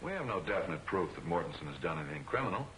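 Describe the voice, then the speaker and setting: An actor playing a brisk, level-headed newspaper editor with clipped authority in an old crime film serial. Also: male voice, deep voice, very animated, a bit bright, slight background noise, rough recording. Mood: firm, dismissive.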